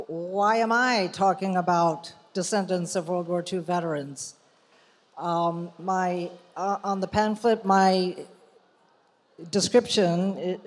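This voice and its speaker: A woman from the United States speaks at a commemorative event, unhurried and measured, with the odd filler and restart. Her voice carries a long reverb from the hall around her. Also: on the low side, a little mellow. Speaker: journalist and guest speaker. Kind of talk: prepared public talk.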